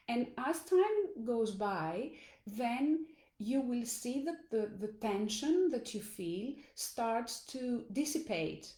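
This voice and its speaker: A Greek woman coaching in her second language talks earnestly and slowly to her audience. Her voice is mellow and relaxed, sitting at an ordinary pitch, with the odd small restart. The room around her is echoey. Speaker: life coach. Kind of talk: motivational talk to camera. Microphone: phone or camera in a live stream.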